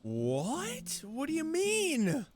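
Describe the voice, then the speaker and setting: A woman's voice: high-pitched, huge pitch swings, extremely slow, darkish tone, slight background noise. A woman doing casual live reaction commentary on a story game, with playful, sarcastic asides. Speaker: streamer playing a story game.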